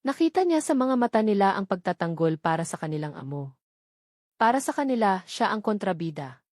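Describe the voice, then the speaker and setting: A Filipino woman narrates a dramatic love story in audiobook style. Her voice sits at a medium pitch for a woman. The sound is dry, with no room echo, and the delivery is scripted storytelling. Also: big pitch swings, a little fast, darkish tone.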